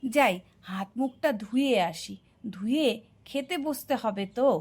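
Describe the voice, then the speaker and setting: A woman plays a fussy, absent-minded cartoon dad. The voice is notably high for the part. He talks in a fretful, grumbling way. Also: animated, unhurried, darkish tone. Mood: settled. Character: fussy, forgetful newspaper-loving father. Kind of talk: cartoon voice acting.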